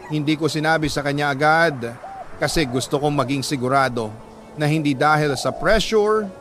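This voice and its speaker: Higher-voiced Filipino man reading heartfelt letters. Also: fairly bright tone. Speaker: storyteller reading listener letters. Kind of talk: dramatic scripted narration of heartfelt letters.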